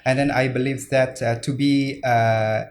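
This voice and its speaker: A man's voice: mid-range voice, fairly even intonation, measured pace, slight background noise. A man from Indonesia answering interview questions earnestly, with many fillers and restarts. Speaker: guest speaker.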